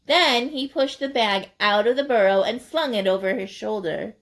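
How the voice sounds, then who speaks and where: A woman storyteller reading a children's picture book aloud expressively, deliberate and emphatic for young listeners. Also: fairly high pitch.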